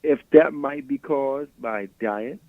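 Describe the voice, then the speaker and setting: A man's voice: mid-range voice, takes it slowly, no room sound. A male caller rambles and stammers, repeating his words as he thinks aloud. His voice comes through a narrow, tinny phone line. The sound is dry.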